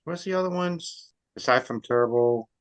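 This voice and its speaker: A man's voice: mid-range voice, moderate pace, dry recording. A man co-hosting a gaming podcast in casual chat, laid-back and laughing often. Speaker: podcast co-host.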